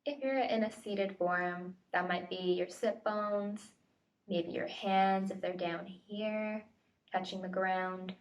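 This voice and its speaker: A woman facilitator leading a guided body scan meditation with gentle, tentative invitations and pauses, recorded at home. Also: medium pitch, fairly even intonation, muffled recording. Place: at home, indoors. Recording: home recording, distant mic.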